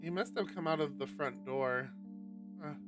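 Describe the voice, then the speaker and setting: A man streaming a visual novel, reading it aloud and commenting casually and expressively, thinking out loud and swearing. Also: a little high, moderate pace. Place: live game stream. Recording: distant mic, music over voice.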